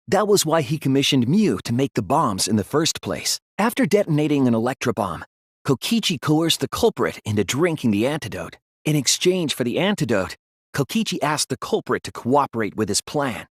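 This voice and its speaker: A young male detective in a dramatic anime video game. The voice is a little breathy and recorded close and dry, with lively, wide-ranging pitch. He sounds earnest and unsure at times, then argues with real force. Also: no room sound. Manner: earnest, hesitant, turning forceful when arguing. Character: earnest teenage boy detective, hesitant but determined.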